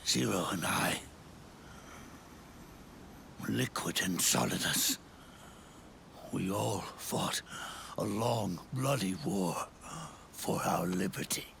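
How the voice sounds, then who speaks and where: An actor playing a weary, dying old soldier in a video game cutscene, solemn and halting, with a weak, breathy rasp. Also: male voice, sits low, fairly bright tone, a little hiss or hum, exaggerated voice. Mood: bitter reflection. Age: older. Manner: slow, halting, solemn, with long pauses. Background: orchestral music.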